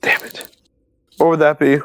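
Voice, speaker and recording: A man playing in a tabletop roleplay game, asking eager, halting questions. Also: on the high side, flat delivery, a bit bright, clear, resonant tone, no room sound, clean studio sound. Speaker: player. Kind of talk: casual tabletop game play.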